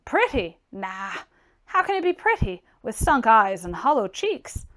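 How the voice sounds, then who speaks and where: A blunt, mocking young gentleman of trade from a classic novel, acted out by a woman reader. The pitch sits fairly high and swings with great animation. He is opinionated and brusque, throwing out scornful exclamations with emphasis. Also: big pitch swings, a little slow. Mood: opinionated, dismissive. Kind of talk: dramatized audiobook character reading.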